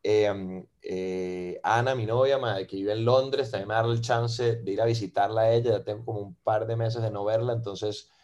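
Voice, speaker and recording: Relaxed Costa Rican-accented man, lowish voice. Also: normal speed, few pauses. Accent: Costa Rican Spanish. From Venezuela. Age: 30s. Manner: relaxed, fills with "ma" and "eh". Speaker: podcast guest. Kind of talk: casual interview chat.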